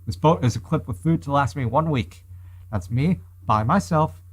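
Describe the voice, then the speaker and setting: A man's voice: mid-range voice, moderate pace, breathy edge, natural voice. A man reading the part of a brash, threatening young female boat thief in a visual novel. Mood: smug. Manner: cocky, menacing.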